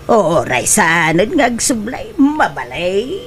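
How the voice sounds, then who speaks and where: Woman playing a slow-talking, lively doting mother. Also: mid-range voice. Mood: cheerful. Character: cheery, doting mother of a returning overseas worker. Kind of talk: comedic radio drama acting.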